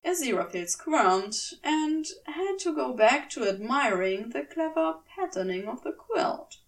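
Woman, story narration, lively melodic range, slow pace, slightly distant microphone. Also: sits high. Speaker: podfic reader. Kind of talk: expressive scripted story narration.

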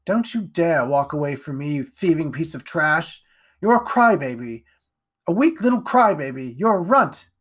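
A man's voice: fairly high pitch, expressive, a little slow, pitched above the performer's own voice. A man voicing a spiteful, haughty rich boy with a sneering, commanding manner in an audiobook. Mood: taunting. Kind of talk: audiobook character voice.

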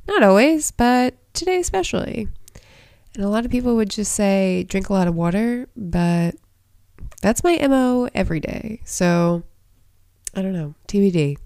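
A woman's voice: medium pitch, lively intonation, moderate pace, vocal fry, dark tone, no echo, clean studio sound. A woman hosting a podcast in a casual, chatty, self-deprecating way, her voice stuffy and congested. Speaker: podcast host. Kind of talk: casual chat.

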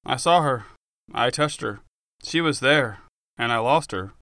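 Casting: male narrator as a stubborn, bewildered wounded gunfighter, audiobook style, bright and clear, wry and defensive. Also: mid-range voice, expressive, unhurried, dry recording, studio quality. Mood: anxious.

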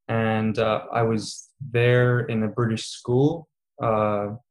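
A man's voice: fairly low pitch, flat delivery, takes it slowly, rough recording. A man in his twenties, a student guest in a casual interview, talking with many fillers and easy laughs. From Serbia, raised in Qatar. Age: 20s.

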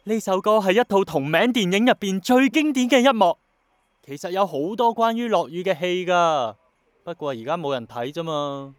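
A man's voice: sits high, expressive, normal speed, no echo. An actor with a Hong Kong Cantonese accent playing an earnest, dreamy young man who is obsessed with bringing back rain, in a radio drama. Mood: passionate, wistful. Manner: passionate, persuasive, romantic-minded.

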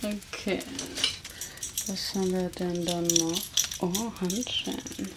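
A woman playing a menacing, mocking female vampire who teases a captive in an ASMR roleplay, sardonic and drawn-out, at times slipping into whispers. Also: lowish voice, fairly even intonation. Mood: mocking, playful menace. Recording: loud noise and crackle over voice.

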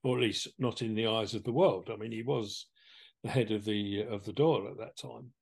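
A man from England with an English accent, talking through ideas as a podcast co-host in a measured, reflective way. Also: lowish voice, creaky, slightly rough. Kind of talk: conversational discussion.

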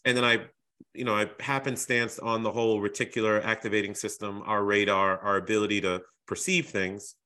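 A man's voice: fairly low pitch, little pitch movement, a little fast, sharp tone. A man from Boston hosting a podcast, chatting casually and often repeating words while he finds his phrasing. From Boston, USA. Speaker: podcast host. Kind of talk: casual interview chat.

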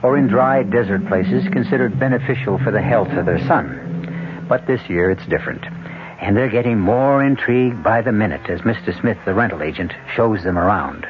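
A man giving measured, scripted narration for a 1970s radio drama. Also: lowish voice. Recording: noisy, muffled 1970s radio broadcast.

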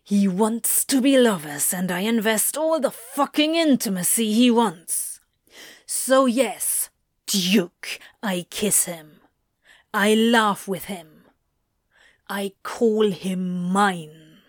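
A woman's voice: lowish voice, extremely slow, darkish tone, no echo, studio quality. A woman voicing an insolent male demon with a hissing, contemptuous manner, in an audiobook-style story. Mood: snarling, defiant. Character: insolent, sneering male demon with defiant swagger.

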